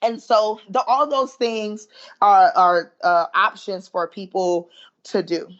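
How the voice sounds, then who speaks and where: A woman's voice: mid-range voice, fairly even intonation, slow. Southern woman with a muffled sound. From American South. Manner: chatty, many fillers, proud. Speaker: guest, body image coach. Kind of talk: remote podcast interview.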